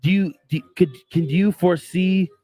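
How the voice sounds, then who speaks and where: A man's voice: high-pitched, normal speed, warm tone. A man hosting a podcast in a casual interview chat, hesitant and full of fillers, swearing casually. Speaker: podcast host.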